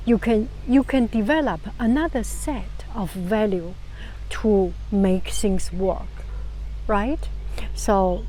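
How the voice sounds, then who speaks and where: A woman with a Chinese accent and a low, soft voice giving calm, casual advice to camera, often restarting phrases and sometimes dropping to a whisper. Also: slight background noise. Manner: calm, unhurried, many restarts. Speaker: vlogger.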